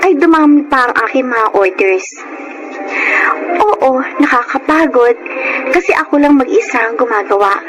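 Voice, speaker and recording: A woman's voice: sits high, lively intonation, moderate pace, over music, low-quality recording. A woman playing a hopeful seventeen-year-old girl, narrating her life earnestly in a radio drama.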